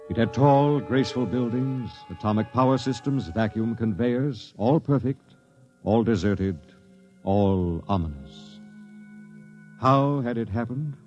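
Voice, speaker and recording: An actor playing a doomed space expedition captain, narrating gravely in a 1950s radio drama, recorded as an old radio broadcast. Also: male voice, medium pitch, muffled recording, natural voice. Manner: grave, measured narration turning sharp and bitter.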